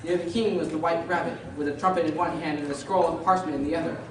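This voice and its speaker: Woman as storybook narrator, measured radio play reading, super echoey, distant noisy mic. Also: low voice, steady tone, natural voice. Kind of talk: scripted narration. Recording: very echoey room, distant mic, hissy noise.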